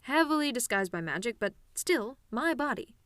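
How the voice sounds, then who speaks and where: A woman's voice: a little high, very animated, moderate pace, a bit bright, dry recording, studio quality. A woman playing a cocky, sarcastic tomboy demon with a casual, teasing delivery in an audio roleplay. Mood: indignant. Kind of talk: ASMR-style scripted roleplay monologue.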